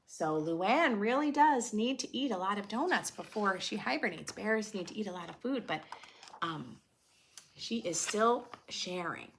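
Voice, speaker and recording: A woman's voice: medium pitch, lively intonation, unhurried, gravelly, a little hiss or hum. A woman hosting a read-aloud for preschoolers, expressively reading a picture book and adding simple teaching questions and explanations in a dramatic way.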